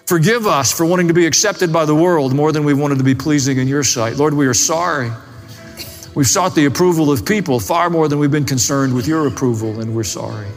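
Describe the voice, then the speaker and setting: A man's voice: on the high side, a little fast, faint music behind. A man pastor with a plain-spoken, folksy way, preaching and praying before a congregation. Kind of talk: sermon and closing prayer. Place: large hall, preaching to a congregation. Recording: echoey hall with long reverb.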